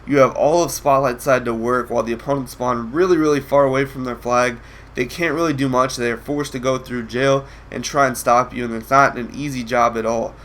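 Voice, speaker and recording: A man's voice: medium pitch, steady tone, a little fast, a bit bright. A young man with a nasal, congested voice, feeling sick, giving casual commentary over a video game match with lots of ums and restarts. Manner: rambling with many ums and restarts. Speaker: gameplay commentator.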